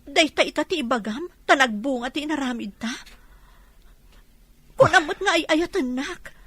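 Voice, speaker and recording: A woman's voice: sits high, lively intonation, moderate pace, a bit bright, dry recording. A woman playing a hurt, proud young pregnant woman in an emotional Ilocano radio drama, with an Ilocano accent. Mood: anxious, insistent.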